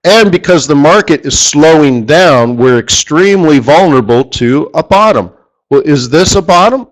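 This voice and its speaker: A man teaching a live trading lesson who keeps clearing his throat as his voice gives out. He rambles, corrects himself as he goes and repeats a point for emphasis. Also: a little high, animated, normal speed, low-quality recording. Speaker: forex trading instructor. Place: studio or office, live stream.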